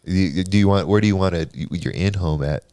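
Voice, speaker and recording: A man's voice: low-pitched, fast, darkish tone, clean tone, dry recording, clean studio sound. A man hosting a podcast, chatting casually with his guest and laughing often. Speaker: podcast host. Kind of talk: casual interview chat.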